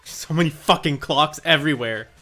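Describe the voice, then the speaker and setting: Higher-voiced man, playful game streamer. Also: moderate pace, bright, forceful tone. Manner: sweary, playful. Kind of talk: casual joking livestream chat. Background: video game music.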